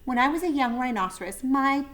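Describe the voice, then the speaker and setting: A woman's voice: animated, brisk, fairly bright tone. A woman voicing a grumpy, self-pitying old male rhinoceros in a storybook. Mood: mournful. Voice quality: deep, angry voice. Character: angry, weepy old male rhinoceros, vain about his tusk.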